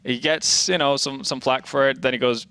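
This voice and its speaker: A man's voice: mid-range voice, steady tone, normal speed, bright, forceful tone, clear, resonant tone, no echo. A man from Norway working as an esports analyst, talking at length with lots of fillers. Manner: talkative, with many "uh" and "you know" fillers. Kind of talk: studio desk analysis chat.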